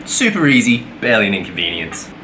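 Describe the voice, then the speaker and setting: A man's voice: on the low side, big pitch swings, fast talker, pushed and bright, a little hiss or hum, poor audio. A young man giving a casual weekly vlog update, rambling with lots of fillers and self-corrections. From Australia. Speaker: vlogger.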